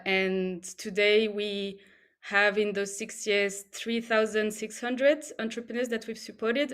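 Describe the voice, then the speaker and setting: A woman speaking on a panel about the entrepreneur network she runs, in an earnest, conversational way. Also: mid-range voice. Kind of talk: online panel talk.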